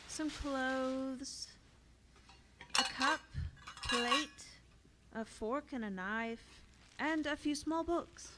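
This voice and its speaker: An actress playing a practical, easygoing camper in radio drama dialogue. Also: female voice, high voice, very slow, long pauses, background noise.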